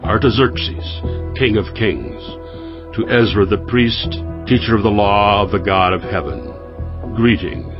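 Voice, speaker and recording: A man playing a regal, formal king dictating a decree in a measured, stately way for a dramatized scripture reading. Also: fairly low pitch, close to an everyday voice. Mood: stately, commanding. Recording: muffled, music behind the voice. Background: music.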